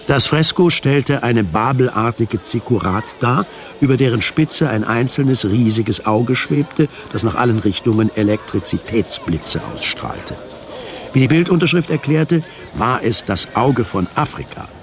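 A man reading scripted narration for a radio drama, measured and articulate. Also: mid-range voice, lively intonation, a bit bright.

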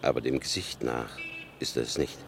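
An actor playing a stern middle-aged FBI agent who gives clipped, commanding orders in an old radio crime play. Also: male voice, very deep voice, moderate pace, gravelly, background noise. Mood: tense.

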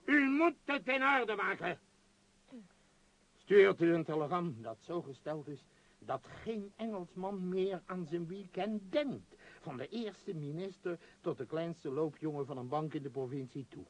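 A man's voice: high-pitched, expressive, unhurried, fairly bright tone, heavily acted, low-quality recording. An actor in a radio play voicing a domineering, self-pitying business magnate, blustering and muttering as he gives orders. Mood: exasperated. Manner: blustering, commanding, muttering hesitations.

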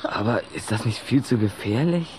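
Cautious young boy, very low for a woman, lively intonation, worried, radio play. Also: normal speed, noisy background. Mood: worried, surprised. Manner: hesitant, questioning, worried. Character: timid, curious human boy, cautious and polite. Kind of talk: German radio play acting.

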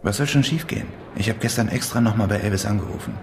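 Deep, fast-talking sceptical accomplice. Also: male voice, creaky, music in the background. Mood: matter-of-fact. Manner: dry, cautionary, unruffled. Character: sceptical, matter-of-fact male accomplice of a thief. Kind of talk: German radio crime drama acting.

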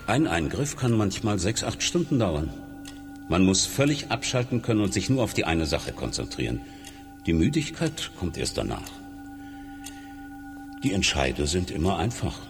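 An actor playing a blunt, hardened surgeon in a radio drama, wry and matter-of-fact. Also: male voice, sits low, very animated, moderate pace, in a large hall, music in the background. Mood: detached, reflective. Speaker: surgeon and hospital doctor.

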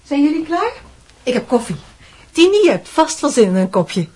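Radio drama: hospitable middle-aged housewife, high-pitched and sing-song, soft-toned. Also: female voice, sing-song, moderate pace. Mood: cheery, hospitable. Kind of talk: Dutch radio play acting.

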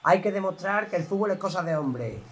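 A man's voice: high voice, animated, brisk. An amateur student actor with an Andalusian accent playing a demanding, macho male football coach in a radio play. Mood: rousing, macho. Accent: Andalusian Spanish. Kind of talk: amateur radio drama acting.

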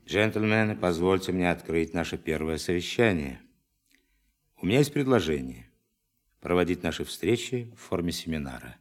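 A man's voice: low voice, lively intonation, normal speed. An actor in a Russian radio play playing a formal, orderly, conciliatory male chairman of scientists.

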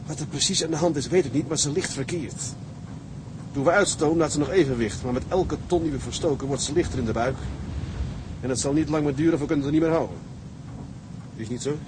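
An actor playing an earnest, dutiful young first mate in a radio drama, measured, grave and respectful. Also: male voice, natural voice. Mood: grave explaining. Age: young adult. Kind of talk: dramatic radio play acting. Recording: engine rumble behind the voice.

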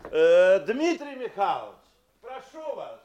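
Excitable high-voiced man. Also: slow pace, echoey room, dull audio, heavily acted. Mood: obliging. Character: excitable, dismissive male hotel guest under questioning. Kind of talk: Russian radio play acting.

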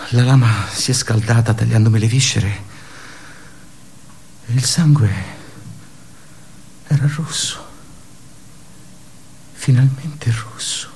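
Man acting a creaky-voiced, tormented office worker. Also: mid-range voice, slight background noise, close to an everyday voice. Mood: feverish, resolute. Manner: halting, intense, shifting from hushed murmurs to anguished outbursts. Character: wounded, despairing office worker recounting his suicide attempt. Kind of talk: radio theatre dramatic monologue.

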